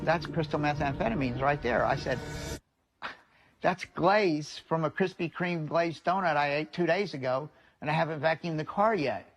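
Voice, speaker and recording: A man's voice: on the high side, moderate pace, muffled recording. A man telling a personal anecdote in a storytelling style, in a played clip. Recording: clip with clear music underneath. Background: music.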